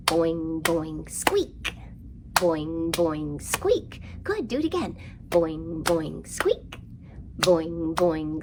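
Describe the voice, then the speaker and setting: A woman presenting a children's storytime, speaking in an animated, sing-song way and prompting young listeners through rhymes and counting. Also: on the low side, background noise.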